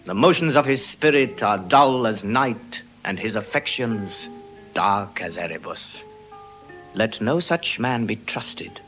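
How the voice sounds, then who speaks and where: Eloquent young lover, Shakespearean verse, declamatory, animated pitch, music underneath. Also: male voice. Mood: grave, lyrical. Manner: lyrical, declamatory, measured. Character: Lorenzo, romantic eloquent young gentleman lover. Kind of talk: classical Shakespearean stage acting.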